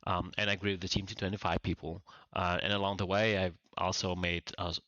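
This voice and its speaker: Very deep-voiced man, dry dull sound. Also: little pitch movement, a bit bright, no room sound. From Vietnam. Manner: halting, many restarts and fillers. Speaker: podcast guest, startup founder. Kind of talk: casual interview talk.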